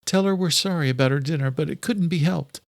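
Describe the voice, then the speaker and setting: A male narrator plays a distraught young wife in an audiobook thriller. Her voice is lifted high, urgent and pleading, and the recording is close and dry. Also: fast, gravelly, no echo. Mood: subdued worry. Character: distraught, anxious young wife searching for her missing husband.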